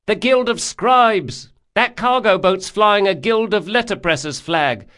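A man's voice: sits high, unhurried, bright, forceful tone, voice pushed up. A man voicing a blunt, chatty working boatman in an audiobook, colloquial and opinionated. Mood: excited, explaining. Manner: colloquial, dropped words, exclamatory.

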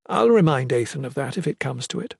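A man's voice: mid-range voice, sing-song, a little fast, gravelly, darkish tone, husky, dry recording, full character voice. A resolute, self-sacrificing mother as a male narrator plays her speaks earnestly and formally in a fantasy audiobook. The voice is set a little above his normal speech, close and dry. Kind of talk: fantasy audiobook character reading.

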